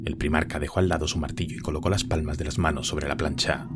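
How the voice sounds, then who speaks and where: A man's voice: rumbling low, steady tone, fast, heavy background noise. A man narrating a scripted war story in a dramatic audio drama style.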